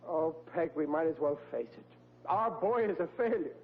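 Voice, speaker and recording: A man's voice: a little high, lively intonation, moderate pace. An actor playing a blustering, exasperated middle-aged working-class American father, stammering and flustered, in a 1940s radio comedy. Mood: dejected, blustering. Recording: muffled old-time radio recording with hum.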